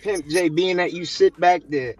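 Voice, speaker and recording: A man's voice: high-pitched, moderate pace, darkish tone, faint music behind. Insistent man arguing on a panel. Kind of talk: heated panel debate.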